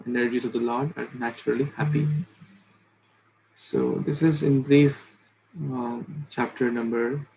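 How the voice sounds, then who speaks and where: A man in his thirties recaps a scripture chapter for an online study group, earnest and a little halting. His voice has a gravelly edge. It comes through a laptop webcam in a small home bedroom, with a faint hiss behind it. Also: mid-range voice, darkish tone, low-quality recording. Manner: earnest, halting with fillers and restarts. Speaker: scripture class leader. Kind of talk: recapping scripture study over video call. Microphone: laptop webcam on video call.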